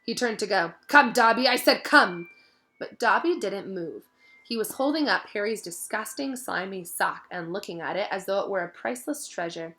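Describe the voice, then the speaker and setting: A woman reading a storybook aloud as narrator, with expressive delivery. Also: medium pitch, moderate pace.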